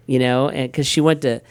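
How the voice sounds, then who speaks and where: A middle-aged pastor talks in an easygoing, rambling way, often restarting sentences and acting out little exchanges. His voice is smooth and resonant, recorded close and dry. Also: mid-range voice, dry recording. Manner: relaxed storyteller, frequent restarts and quoted asides. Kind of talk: casual conversational talk.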